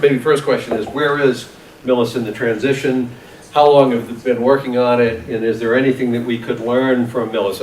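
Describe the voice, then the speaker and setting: A man chairing a town committee meeting, speaking in measured phrases with frequent restarts. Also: mid-range voice, slight background noise. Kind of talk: public meeting moderation. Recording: echoey meeting room from far off.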